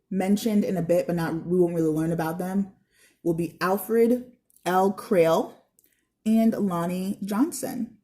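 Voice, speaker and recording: A woman's voice: mid-range voice, mellow. A woman reading a children's book aloud expressively, with informal, teacherly asides. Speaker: read-aloud narrator.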